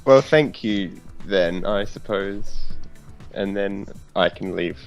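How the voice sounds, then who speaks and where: A man with a lowish, smooth voice chats casually as a tabletop player. The recording is a little dull, and music plays faintly behind him. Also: slow.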